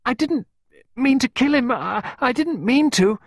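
A frightened young girl, played by a male narrator in a storybook drama, her voice pitched way up and choked with tears. She wails, stammers and pleads hysterically, with big swoops in every phrase. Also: lively intonation, a little slow, no echo, studio quality, exaggerated voice. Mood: hysterical crying. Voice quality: strangled, tearful voice.

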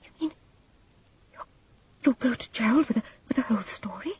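A woman voicing a shrill, nervous, sneering and craven younger woman in a dramatised storybook reading. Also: lively intonation, normal speed, husky. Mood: shaken. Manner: bitter, rattled, sharp short laugh. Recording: roomy, slightly dull audiobook recording.

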